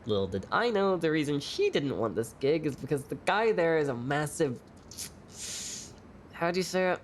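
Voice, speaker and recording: A man's voice: a little high, sing-song, moderate pace, slight background noise. An actor playing a sassy, hot-tempered young male bar performer in an audio drama, sarcastic, flippant and sweary. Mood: teasing banter.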